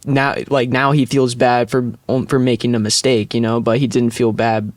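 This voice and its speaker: A man's voice: medium pitch, flat delivery, normal speed, dry recording. A man co-hosting a podcast, chatting casually in loose, slangy phrasing. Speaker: podcast co-host. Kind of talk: casual chat.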